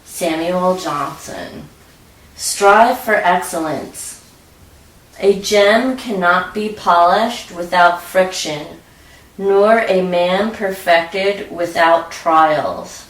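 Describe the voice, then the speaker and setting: A woman narrating a scripted guided meditation in a measured, deliberate way. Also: on the low side. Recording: roomy echo, distant from mic.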